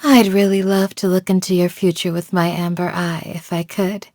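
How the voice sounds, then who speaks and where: A woman playing a calm, devoted village guardian in a video game, speaking in a measured, formal, gently solemn way. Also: on the low side, dry recording, clean studio sound, natural voice. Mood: kindly. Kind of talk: scripted game character voice lines.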